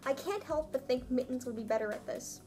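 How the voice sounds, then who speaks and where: A young woman with a high voice reads a story aloud for comic effect. Her delivery is mock-dramatic and self-mocking, dropping into casual asides, with faint music underneath. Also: brisk, warm tone. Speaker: vlogger reading her own old story aloud.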